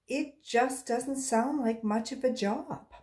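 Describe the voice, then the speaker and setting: A woman's voice: on the high side, slow, mellow, pitched above the performer's own voice. A woman voicing an earnest, slightly doubtful young schoolboy in a children's storybook. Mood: puzzled, unconvinced.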